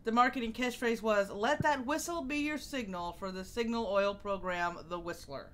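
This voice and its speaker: A woman's voice: fairly high pitch, moderate pace, fairly bright tone. A woman hosting a casual show, explaining things and reading from notes. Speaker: podcast host.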